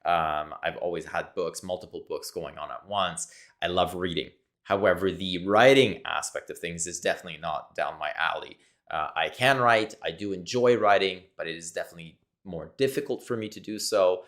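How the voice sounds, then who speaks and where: A man's voice: sits low, normal speed, a bit bright. A man from Quebec whose first language is French, speaking English with a Québécois accent in a searching, repetitive solo monologue to camera. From Quebec, Canada. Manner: searching, repetitive, thinks aloud. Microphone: camera mic, talking to camera.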